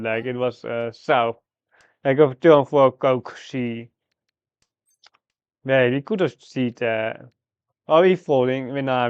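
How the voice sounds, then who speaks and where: A man live-streaming a game, thinking aloud through his moves in a halting, fragmented way. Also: medium pitch, clean tone, no echo, highs cut off. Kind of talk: live mahjong gameplay commentary, thinking aloud.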